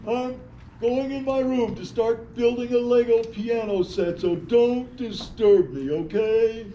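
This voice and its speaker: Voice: medium pitch, slow, natural voice. A performer reading a busy, bossy older brother absorbed in building Lego, in a staged play reading. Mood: annoyed, busy. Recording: muffled, echoey room with faint noise.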